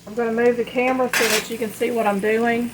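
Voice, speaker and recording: A woman chatting casually and in a folksy, unhurried way as she demonstrates home cooking. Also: fairly high pitch, level tone. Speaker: home cook hosting a recipe video. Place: home kitchen at the stove. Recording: clear background kitchen noise, frying sizzle. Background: sizzling skillet, stirring.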